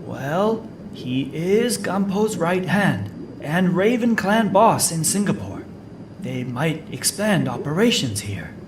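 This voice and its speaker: An actor playing a thoughtful, analytical scientist in a video game cutscene, speaking in a measured, pondering way. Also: mid-range voice, big pitch swings. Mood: musing. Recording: clear engine noise behind voice.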